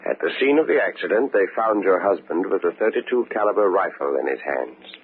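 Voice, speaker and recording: An actor playing a calm, patronizing doctor with a measured, formal manner in a 1940s radio mystery. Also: male voice, sits low. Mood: firm, soothing. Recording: very noisy, narrow old radio broadcast.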